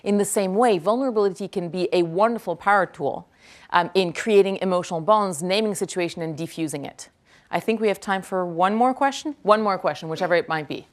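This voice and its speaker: A woman whose first language is French, speaking at a university lecture hall, energetically repeating and answering questions from the audience. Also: on the low side. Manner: energetic, quick to praise, repeats each question aloud.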